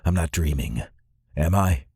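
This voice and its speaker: Guy doing easygoing confident vampire boss, low husky voice, wry, audiobook dialogue. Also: expressive, unhurried, a little mellow, dry recording, studio quality, full character voice. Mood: amused, familiar. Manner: casual, familiar. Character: confident male vampire master, casual and wry.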